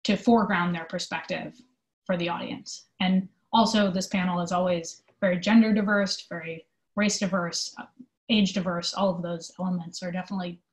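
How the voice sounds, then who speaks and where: A woman in her twenties giving earnest, reflective, discursive answers as an interview guest who works in theater. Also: fairly low pitch.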